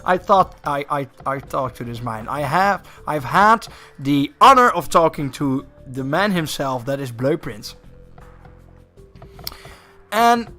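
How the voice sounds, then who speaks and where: Guy doing live game commentary, bright forceful voice, kinda high, hypes things up. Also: lively intonation, slow, faint music behind. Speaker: esports tournament commentator and host.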